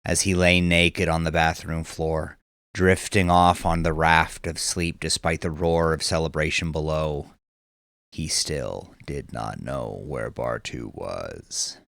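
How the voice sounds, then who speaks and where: A man narrating a dramatic scripted audiobook, with deliberate, measured phrasing. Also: a bass voice, steady tone, gravelly, fairly bright tone, no echo, studio quality. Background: background music.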